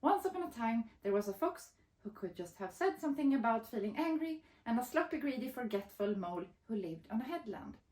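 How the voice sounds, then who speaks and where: Woman acting a flustered storybook mole, raised pitch, excitable, self-centred, roomy echo. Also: fairly quick, hardly any pauses. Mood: placating. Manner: excitable, blurting, interrupting. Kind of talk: picture book character voice.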